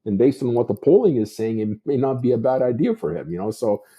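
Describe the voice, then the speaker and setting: A man's voice: medium pitch, animated, fast talker, mellow, breathy edge. A Canadian man giving unscripted political commentary as a talk show host, discursive and repeating himself for emphasis.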